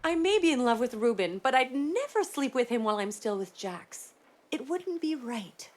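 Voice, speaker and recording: A conflicted young woman in a video game. Her voice is a little high and swings widely in pitch. She comes across as emotionally wounded. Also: big pitch swings, normal speed. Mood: hurt, indignant. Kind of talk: video game dialogue acting.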